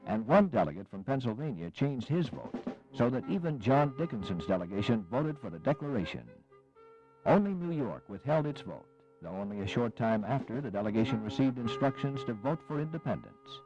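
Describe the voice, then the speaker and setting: A man narrating an American history audio drama in a measured, formal, scripted style. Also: medium pitch, very animated, over music. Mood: solemn. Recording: slightly muffled 1980s recording.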